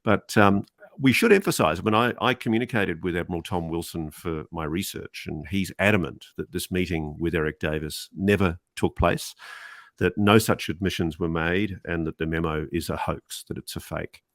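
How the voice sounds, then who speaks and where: A man co-hosting a podcast, discussing a document conversationally with deliberate, emphatic phrasing. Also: sits low, fairly bright tone, no echo. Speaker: podcast co-host.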